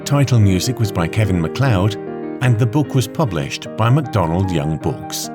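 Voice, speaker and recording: A man reading a scripted children's story in a measured, expressive way, with deliberate pauses. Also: lowish voice, music in the background. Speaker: storybook narrator.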